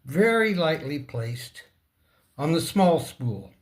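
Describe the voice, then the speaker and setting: A man giving a casual hands-on repair demonstration, speaking in halting short fragments and muttering to himself as he works. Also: fairly high pitch, big pitch swings. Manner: talks to himself and the object. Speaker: repair tutorial presenter.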